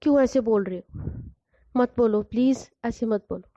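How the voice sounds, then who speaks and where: A woman's voice: a little high, moderate pace, dark tone, no room sound, close to an everyday voice. A woman voicing a grief-stricken middle-aged mother who pleads in frantic disbelief, in a slightly dull, lo-fi recording. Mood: frantic denial.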